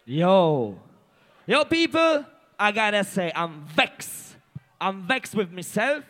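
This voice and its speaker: A man's voice: high voice, big pitch swings, slow pace. A man from Poland working as a soundclash MC, talking in a defiant, boastful, sweary way with Jamaican patois phrases over a live sound system. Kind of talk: combative soundclash stage talk. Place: soundclash venue, on stage. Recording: loud live sound system, music behind.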